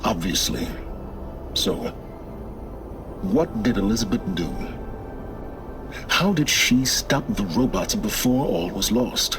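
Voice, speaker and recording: A man's voice: on the low side, very animated, over music, full character voice. A man playing a cold, aloof scholarly mentor in a game cutscene, speaking in a clipped, condescending way. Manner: condescending, clipped, slow deliberate. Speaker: game character. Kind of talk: video game cutscene acting. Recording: game audio with clear background noise.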